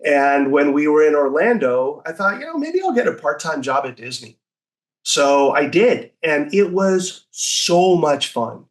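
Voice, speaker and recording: A man's voice: a little high, sing-song, measured pace, poor audio. A man speaking as an interview guest in an enthusiastic, conversational storytelling way, now and then acting out short quotes.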